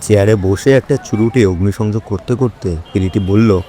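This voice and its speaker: A man's voice: lowish voice, moderate pace, mellow, slight background noise. A man narrating a story for an audio drama in a scripted, dramatic storytelling style.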